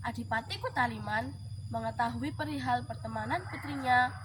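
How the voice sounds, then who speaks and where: Storyteller: woman with a high-pitched voice, narrating a legend expressively and deliberately, noisy recording. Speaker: storyteller in a school storytelling contest. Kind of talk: expressive scripted folk-tale narration. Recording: clearly noisy background.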